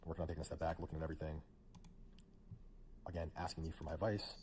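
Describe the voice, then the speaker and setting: A man with a very low voice narrates in a rush, hopping between thoughts and padding his sentences with fillers. He walks through old messages from a friend. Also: little pitch movement, darkish tone, slight background noise. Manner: fast, rambling, filler-heavy. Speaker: streamer recounting a friendship. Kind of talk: narrating over screenshots.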